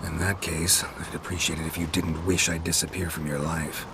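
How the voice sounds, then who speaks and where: A man playing a gruff, stoic monster hunter in a video game, with a gravelly voice and a laconic, deadpan delivery. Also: moderate pace, background noise. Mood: guarded, dry. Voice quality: low gravelly voice. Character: gruff, stoic male monster hunter, dry-witted and terse.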